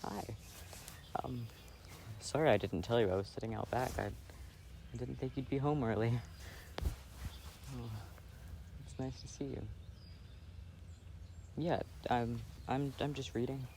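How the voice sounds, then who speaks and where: A man playing a gentle, insecure boyfriend in an intimate ASMR roleplay, speaking softly and hesitantly. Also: medium pitch, fairly even intonation, gravelly. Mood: shy, pleased. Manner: hesitant, halting, soft-spoken. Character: gentle, insecure, affectionate plant-loving boyfriend reading outdoors. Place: outdoors, sitting on grass. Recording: faint outdoor noise, birdsong. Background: faint birds and outdoor ambience.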